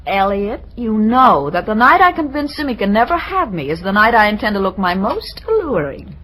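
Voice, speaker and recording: An actress playing a vain, coquettish young daddy's darling in an old radio comedy-drama. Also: female voice, medium pitch, sing-song, normal speed, a bit bright, rough recording. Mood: airy, coaxing.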